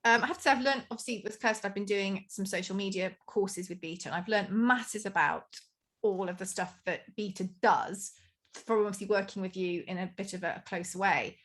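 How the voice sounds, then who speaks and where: A woman hosts a podcast with a mid-range voice, and she is chatty, upbeat and quick to make fun of herself. Her talk is warm and conversational as she introduces her guests. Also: medium pitch. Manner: chatty, enthusiastic, self-deprecating. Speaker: podcast host.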